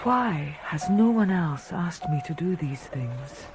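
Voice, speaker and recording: Slow, low-voiced, passionate woman's inner monologue. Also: animated, full character voice, poor audio. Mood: scornful, agitated. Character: inner voice of a mute, proud, passionate woman pianist. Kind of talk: radio drama narration of thoughts. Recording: loud piano music over the voice.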